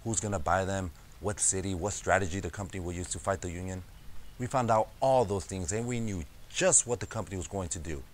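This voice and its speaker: Actor as a low-voiced, wry crew foreman. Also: a little fast, slight background noise, close to an everyday voice. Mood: amused, confiding. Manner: conversational, wry storytelling. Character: wry, amiable farm crew foreman turned union organizer. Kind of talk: dramatized oral history monologue.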